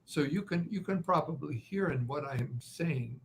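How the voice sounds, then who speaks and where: A man from Canada with a slight Ukrainian accent, a writer and journalist giving measured, deliberate interview answers with frequent pauses. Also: fairly high pitch, expressive, creaky, slight background noise, low-quality recording. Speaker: guest, author and journalist.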